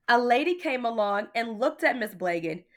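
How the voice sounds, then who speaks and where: Female storyteller, teacher type, animated read-aloud delivery, talks straight to the kids. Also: a little high. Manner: addresses child listeners directly, with questions. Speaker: teacher reading a picture book aloud.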